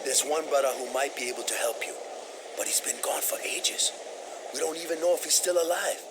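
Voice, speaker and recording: An actor playing a wary, hot-tempered village survivor with an emphatic manner in a video game cutscene. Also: male voice, on the high side, a little fast, background noise, lightly acted. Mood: resigned.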